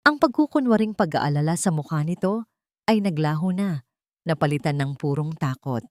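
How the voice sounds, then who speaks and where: A woman reading a dramatic scripted story as narrator, with measured, suspenseful delivery. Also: low-pitched, lively intonation, darkish tone, dry recording, clean studio sound.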